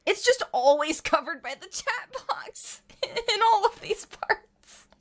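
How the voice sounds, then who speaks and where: A woman's voice: very high voice, sing-song, slow. A woman streaming a game with casual, amused live commentary and giggly asides.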